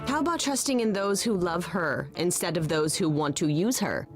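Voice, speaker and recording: A woman's voice: lowish voice, lively intonation, moderate pace, faint music behind, natural voice. An actress voicing a blunt, earnest young woman, a loyal and protective best friend in a video game. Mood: scornful, defensive.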